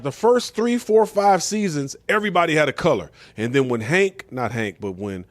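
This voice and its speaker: A middle-aged man with an American accent and a medium-pitched voice holds forth in a casual monologue. He is blunt and slangy, often tossing out rhetorical questions. The sound is dry, with little room in it. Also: no echo. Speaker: comedian and talk show host.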